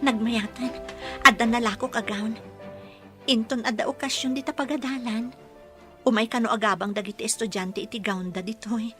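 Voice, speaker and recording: A courteous, grateful gown seller in an Ilocano radio drama. Her voice is high and bright with an Ilocano accent, and music plays underneath. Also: steady tone, normal speed. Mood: grateful, hopeful. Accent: Filipino, Ilocano. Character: polite, grateful young female gown boutique owner.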